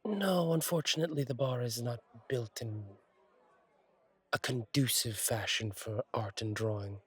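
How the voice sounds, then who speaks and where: A man playing a friendly, courteous angel bartender in an intimate roleplay audio, speaking gently with thoughtful pauses. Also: medium pitch, husky, no echo. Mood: warm, reassuring. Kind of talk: intimate roleplay audio acting, one side of a conversation.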